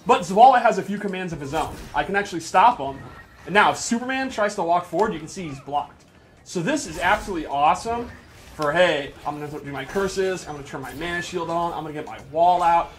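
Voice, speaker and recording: Higher-pitched man giving enthusiastic game commentary. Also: lively intonation, quick pace, a little hiss or hum. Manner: enthusiastic, explanatory, emphatic. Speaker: presenter demonstrating gameplay. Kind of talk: casual unscripted game commentary.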